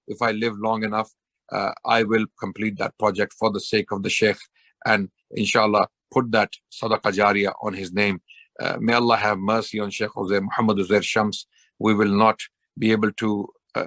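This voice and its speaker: A man's voice: lowish voice, fairly bright tone, dry recording. A man giving an earnest spoken tribute to a scholar he admired, repeating phrases for emphasis. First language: not Persian. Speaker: Islamic preacher giving a tribute.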